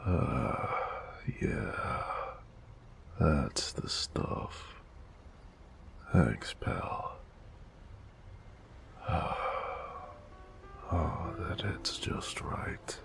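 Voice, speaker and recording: A male actor voices a weary noir gangster lover with an extremely low voice. He takes his time, drawling and sighing through old-time slang, yet swings expressively between murmurs and fuller speech. The manner is intimate, teasing and affectionate, made for romance audio. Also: expressive, extremely slow, pauses often, noisy background, exaggerated voice. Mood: tender, relaxed. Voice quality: very deep, gravelly, breathy murmurs.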